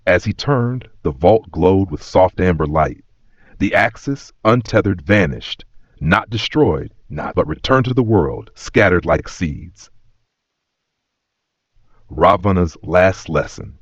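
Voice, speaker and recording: Deep-voiced solemn male storyteller in echo. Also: animated. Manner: solemn, measured, reverent. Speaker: narrator. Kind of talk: scripted dramatic audio storytelling. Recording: roomy echo, slightly dull sound.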